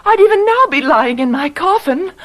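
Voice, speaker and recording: Distraught wife, really high swooping voice, pleading and bitter, old radio drama. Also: female voice, expressive, moderate pace. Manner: fervent, melodramatic, formal.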